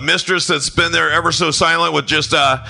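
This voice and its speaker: Bright-voiced joking male host. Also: a little high, steady tone, moderate pace, vocal fry, faint music behind. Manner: joking, loose. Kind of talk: casual talk show.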